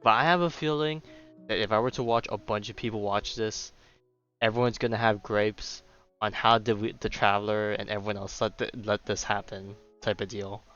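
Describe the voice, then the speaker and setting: Low-voiced man streaming, slightly muffled. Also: fairly even intonation, normal speed, a bit bright. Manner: slangy. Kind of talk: casual game commentary. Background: game music.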